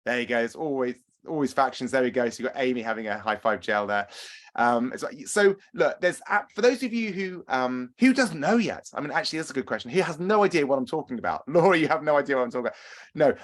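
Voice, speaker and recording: A man's voice: medium pitch, sing-song, fast. Male running coach on a video call, chatty and upbeat, quizzing the group. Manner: chatty, upbeat, asks audience questions. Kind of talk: webinar talk and Q&A.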